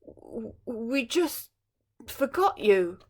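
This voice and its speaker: A woman's voice: fairly high pitch, very animated, very slow, background noise, heavily acted. A woman voicing a nervous storybook king who stammers apologetically. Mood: flustered fear. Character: stammering, frightened storybook king, adult man.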